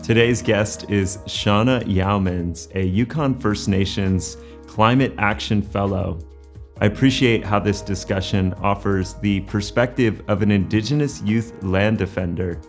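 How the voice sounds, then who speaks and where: A man hosting a podcast, reading a measured, earnest scripted introduction before he interviews his guest. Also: fairly low pitch. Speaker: podcast host. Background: faint music.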